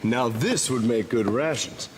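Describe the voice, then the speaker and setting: An actor playing a shady, wisecracking adventurer in a game cutscene, chatty and sarcastic. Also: male voice, medium pitch, measured pace, background noise, lightly acted. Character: wisecracking shady male adventurer, middle-aged. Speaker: game character.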